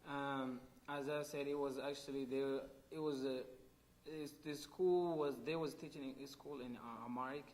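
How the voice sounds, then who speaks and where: Halting man with an Ethiopian accent. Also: medium pitch, fairly even intonation, a little mellow, poor audio. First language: Oromo. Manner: halting, searching for words. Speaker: oral history interviewee. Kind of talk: personal oral history.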